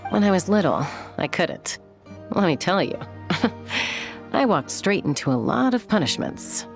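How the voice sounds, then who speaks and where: Self-assured game heroine, slightly low voice, animated intonation, earnest and wry, music behind. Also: female voice, unhurried. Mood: wry, amused. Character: thoughtful, wry, self-assured young woman. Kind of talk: video game voice acting.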